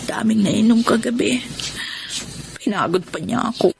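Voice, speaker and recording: A woman's voice: fairly high pitch, moderate pace, gravelly, a little hiss or hum. A woman playing a lovestruck, stubborn young woman in an emotional Filipino radio drama. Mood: drowsy, puzzled. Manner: emotional, melodramatic, defensive.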